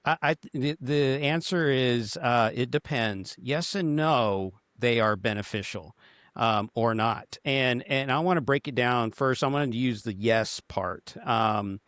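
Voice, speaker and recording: A male running coach explaining things on a podcast with lots of restarts and playful asides. His voice is mid-pitched and sits dry and close to the mic. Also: a bit bright, no echo. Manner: halting, restarts often, joking. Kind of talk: casual podcast discussion.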